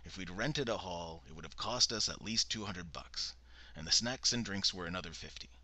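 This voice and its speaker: A man's voice: sits low, normal speed, bright, forceful tone, no echo, heavily acted. A man voicing an indignant, petty male cult member who argues his case. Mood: defensive. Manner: peevish, defensive.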